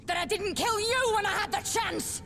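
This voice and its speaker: A woman's voice: extremely high pitch, normal speed. An actress playing a bitter, ruthless defeated female commander in a dramatic video game cutscene. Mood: furious, despairing. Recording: long hall reverb, loud music behind.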